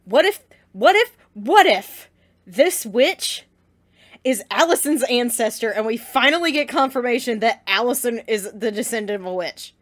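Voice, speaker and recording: A woman hosting a casual reaction vlog, gushing and slangy in a dramatic, excited fan's way. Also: a little high, normal speed, slight background noise. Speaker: reaction video host.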